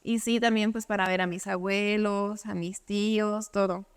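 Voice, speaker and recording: A woman's voice: medium pitch, level tone, normal speed, clear, resonant tone. A young woman from Cuba, talking casually as an interview guest and padding her speech with fillers. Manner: conversational, many fillers like "o sea" and "pues".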